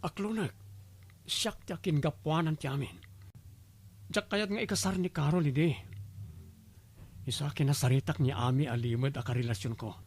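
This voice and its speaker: A man's voice: fairly high pitch, a little mellow, lightly acted. A man playing a remorseful young groom in a radio drama, earnest and pleading. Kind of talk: Ilocano radio drama acting.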